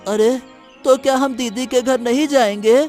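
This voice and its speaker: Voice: sits high, steady tone, moderate pace, darkish tone, soft background music, close to an everyday voice. A performer playing a carefree, eager young village boy in a cartoon moral story. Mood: coaxing.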